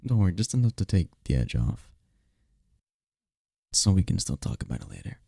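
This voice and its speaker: A man acts out an incubus lover comforting his partner in an intimate ASMR roleplay. His voice is dark and husky, recorded very dry and close. He is soft-spoken and tender, with a playful, teasing streak and a lot of melodic rise and fall. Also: huge pitch swings, moderate pace, no echo, heavily acted. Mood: tender, soothing. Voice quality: breathy, gravelly low voice. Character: tender, teasing male incubus demon boyfriend.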